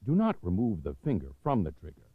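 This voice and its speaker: An American man reading scripted instructional narration for a training film, measured, authoritative and clearly enunciated. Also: sits low, huge pitch swings, heavy background noise. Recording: dull, lo-fi 1990s videotape soundtrack.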